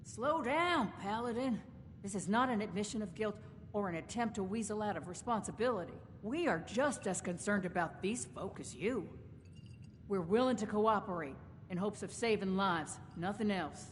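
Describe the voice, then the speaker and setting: An actress playing a proud, shrewd caravan company boss in a video game, with a folksy, sardonic, wordy way of talking. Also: female voice, medium pitch, animated, normal speed, big-room sound, a little hiss or hum, close to an everyday voice. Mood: defiant. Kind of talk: video game character dialogue.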